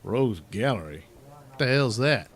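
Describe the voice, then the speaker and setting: A man's voice: mid-range voice, lively intonation, moderate pace, heavy background noise, close to an everyday voice. A man playing a blunt, mocking police chief who scoffs plainly in a comedic superhero audio drama.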